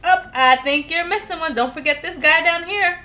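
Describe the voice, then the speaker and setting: A woman reading a children's storybook aloud as host, playful and animated, with questions for a child and singsong refrains. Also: extremely high pitch, moderate pace, roomy sound, a little hiss or hum.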